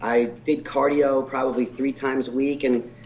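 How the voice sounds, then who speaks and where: A man in his forties at a middle pitch tells his story openly, laughing at himself now and then. A faint noise sits behind his voice. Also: flat delivery, moderate pace, darkish tone. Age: 40s. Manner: earnest and candid, with self-deprecating humor. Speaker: physique competitor guest. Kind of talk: telling his life story in an interview.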